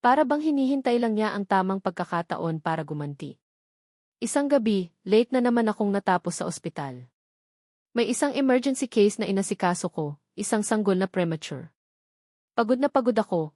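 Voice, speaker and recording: A woman's voice: medium pitch, animated, brisk, a little mellow, no room sound, studio quality. A woman narrating a first-person romantic drama in an earnest, emotional storytelling manner.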